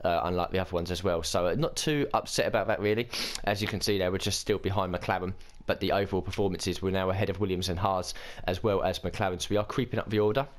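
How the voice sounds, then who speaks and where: Hoarse low-voiced man, fast gaming chat. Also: fairly even intonation. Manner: chatty, thinks aloud with many uhs. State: hoarse and croaky from a cold. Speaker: gaming channel host. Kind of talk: casual let's-play commentary.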